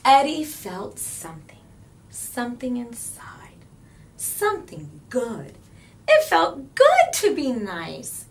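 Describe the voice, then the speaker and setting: A woman reads a children's story aloud as storyteller. She takes her time, leaving dramatic pauses and stressing key words. Her pitch is very lively, moving widely across each phrase. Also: slight background noise. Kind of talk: expressive children's read-aloud narration.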